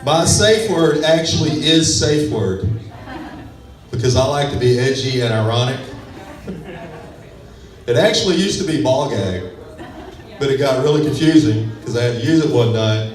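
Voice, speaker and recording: A man's voice: medium pitch, animated, moderate pace, long pauses. A man from Texas with a West Texas accent, doing a self-deprecating, laid-back stand-up comedy set on a club stage. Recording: echoey club sound from far off with a crowd.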